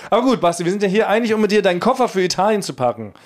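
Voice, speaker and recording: A man's voice: high voice, sing-song, very fast. A man chatting casually as a podcast host, riffing in a playful, mock-serious way. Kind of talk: casual, joking podcast chat.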